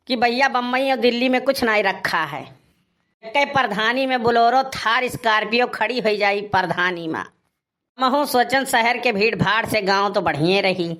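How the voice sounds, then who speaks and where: A man's voice: very high-pitched, pushed and bright, heavily acted. A man playing an aggrieved, boastful village husband in a cartoon comedy, grumbling and scolding with a rustic eastern Uttar Pradesh accent. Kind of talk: Awadhi cartoon comedy dialogue.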